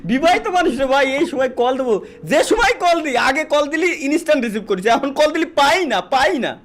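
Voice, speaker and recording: A man's voice: extremely high pitch, animated, quick pace, fairly bright tone. A young man with a Bangladeshi Bengali accent chatting casually on a livestream and repeating his phrases. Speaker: live streamer doing prank calls. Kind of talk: casual livestream chat and prank calling.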